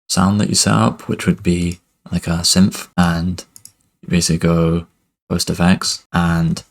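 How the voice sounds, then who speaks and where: A young man teaching music production over a screen recording in a relaxed, loose way, with lots of "kind of" and "basically", speaking into a headset mic in a home studio. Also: sits low, unhurried, vocal fry. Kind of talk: screen-recorded software tutorial. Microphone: headset with webcam inset.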